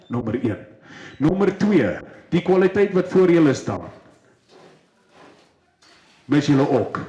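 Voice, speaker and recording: A man with a South African accent working as a livestock auctioneer, switching between rapid rhythmic bid chanting and commentary on each lot. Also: medium pitch, warm tone, reverberant, dull audio.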